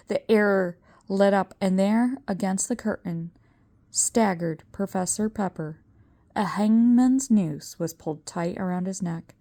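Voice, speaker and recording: A woman's voice: medium pitch, dark tone. An older woman reading a story aloud in a suspenseful, dramatic storytelling manner. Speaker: storybook reader. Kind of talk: read-aloud story narration.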